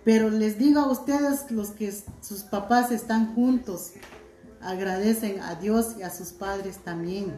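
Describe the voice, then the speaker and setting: A middle-aged woman vlogging at home by a window, chatting warmly and reading out viewer comments, recorded on a phone on the table. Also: medium pitch, unhurried, reverberant, soft background music, poor audio. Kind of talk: casual chat to viewers.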